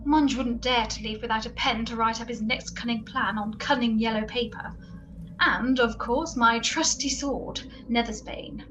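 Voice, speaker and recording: Woman playing brisk, high-voiced gadget expert Boothroyd. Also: background noise, close to an everyday voice. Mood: brisk, deadpan. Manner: brisk, deadpan, emphatic. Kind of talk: comedic radio play acting.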